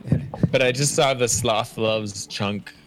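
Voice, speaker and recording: A man's voice: mid-range voice, normal speed, a bit bright, poor audio. A man co-hosting a casual chat show, with a blunt, sweary way of bantering. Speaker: podcast co-host. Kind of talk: casual chat about music and movies.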